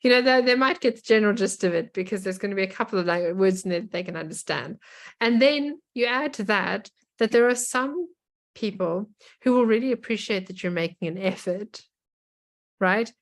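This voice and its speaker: A woman's voice: mid-range voice, lively intonation, fairly quick. A woman with a South African accent, chatting casually as a co-host in a podcast conversation.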